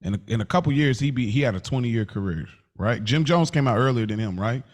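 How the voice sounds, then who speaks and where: A mid-pitched American man debates in a casual podcast style. His pitch swings widely as he pleads his point in exasperation, often halting and repeating phrases. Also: expressive. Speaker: podcast co-host.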